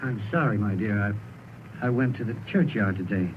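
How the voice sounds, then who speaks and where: An actor playing a heartbroken poor clerk and father in an old radio play, with a hushed, broken delivery. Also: fairly low pitch, normal speed, natural voice. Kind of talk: 1930s radio drama acting. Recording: tinny old radio broadcast with hiss.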